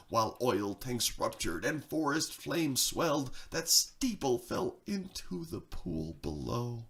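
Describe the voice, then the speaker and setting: A man reading a rhyming story theatrically, swinging between hushed and excited delivery, with a gravelly creak in the voice. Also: fairly high pitch. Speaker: narrator, storyteller.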